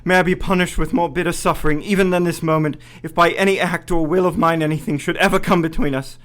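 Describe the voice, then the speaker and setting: A woman's voice: on the low side, steady tone, fast, lightly acted. A woman playing a devoted, anguished spouse in a radio play, earnest and urgent. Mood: fervent.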